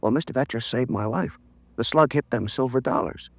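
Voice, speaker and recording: An actor playing a jittery, slangy, streetwise cab driver in a chatty 1950s radio drama manner. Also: male voice, medium pitch, fairly quick, creaky, dry recording. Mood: emphatic. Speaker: former taxi driver, crime witness.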